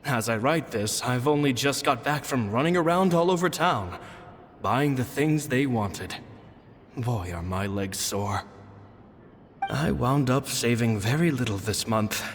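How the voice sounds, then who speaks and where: An actor playing a charming young male stage magician in a video game, talking in a relaxed, wry, gently amused way. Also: mid-range voice, unhurried, slight background noise. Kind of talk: video game voice acting, reading a journal entry. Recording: long hall reverb with faint music.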